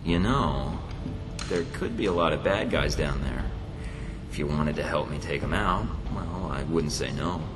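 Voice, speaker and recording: A quietly confident field agent in a spy game. His voice is low and dryly sardonic. He sounds slightly away from the mic, under loud music. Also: unhurried, far from the mic. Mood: wry, casual. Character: cool, wry young male field agent, quietly confident. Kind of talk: video game voice acting.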